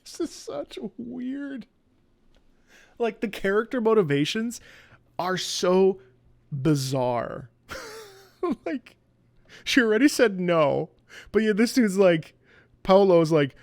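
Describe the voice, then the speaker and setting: Male game streamer, casual and playful, big expressive swings with gravelly fry, mid pitch. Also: slow, long pauses, no room sound. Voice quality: trained singer's voice. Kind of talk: casual live-stream commentary.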